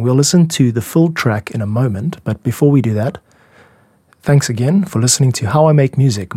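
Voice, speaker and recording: Guy doing an explainer music podcast, bit husky, very dry sound no room. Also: medium pitch, darkish tone, no room sound. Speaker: podcast host and music producer.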